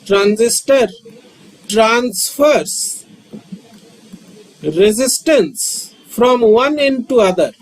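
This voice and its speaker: A man, lecturing on physics and repeating key terms with frequent pauses. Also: extremely high pitch, animated, rough recording. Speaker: physics teacher. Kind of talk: slow, repetitive classroom lecture.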